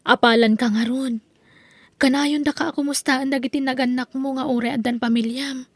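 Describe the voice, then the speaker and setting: Woman doing a tired young daughter, ilocano accent, high breathy voice, earnest radio drama. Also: fairly even intonation, moderate pace, no echo. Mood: plaintive. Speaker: daughter working night jobs.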